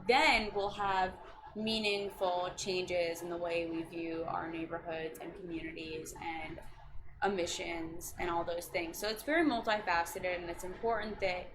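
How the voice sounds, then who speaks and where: Earnest young woman on a noisy stream. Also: lowish voice. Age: 20s. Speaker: county district staff member. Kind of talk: casual chat on a video stream. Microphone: video call. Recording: noisy recording.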